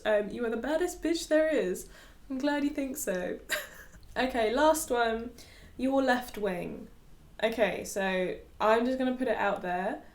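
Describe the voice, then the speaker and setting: A young woman in her twenties, raised in Dubai, talks casually to camera with frequent giggles and a steady stream of fillers. Her voice sits at an ordinary middle pitch. The recording has a noticeable room echo around it. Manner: chatty, giggly, with many fillers. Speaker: vlogger and yoga teacher.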